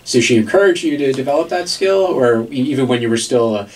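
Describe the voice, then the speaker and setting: A man's voice: medium pitch, expressive, quick pace, darkish tone, recorded from a distance, rough recording. A man hosting a radio interview, jovial and joking, often repeating words as he asks questions. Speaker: radio host. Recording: voice a bit off-mic.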